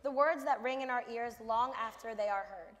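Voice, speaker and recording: A woman's voice: fairly high pitch, normal speed. A female student performer delivering scripted readers theater lines. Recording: reverberant hall, audible crowd.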